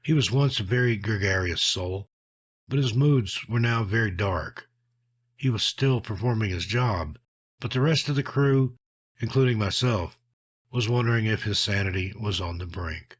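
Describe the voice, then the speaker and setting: A man narrating scripted scary stories in a measured, dramatic storytelling manner, letting his phrases drop at the end. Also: on the low side, husky.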